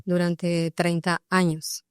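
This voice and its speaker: A woman from Colombia's Caribbean coast with a smooth, clear voice on the lower side. She speaks slowly and hesitantly, pausing between phrases as she answers in a relaxed conversation. The sound is dry and close. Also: dry recording. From Barranquilla, Colombia. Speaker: interview guest, physician and professor. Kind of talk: casual podcast conversation.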